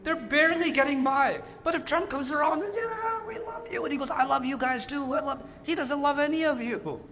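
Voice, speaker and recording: Animated, high-voiced male Islamic lecturer. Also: brisk. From Pakistan. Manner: animated, with mocking quoted voices. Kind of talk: lecture to a live audience.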